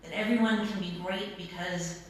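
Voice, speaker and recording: A woman pastor giving a sermon in a church, phrasing it in a measured way with deliberate repetition. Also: on the low side, steady tone. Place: church sanctuary. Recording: echoey church, distant mic, faint noise.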